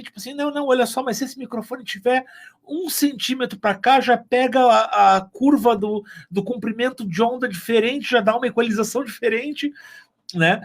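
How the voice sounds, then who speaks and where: A man with a southern Brazilian accent, talking casually in an interview and telling things like a storyteller who acts out remarks. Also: high voice. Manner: animated storyteller, acting out quoted remarks. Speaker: sound engineer and mixer on an audio drama.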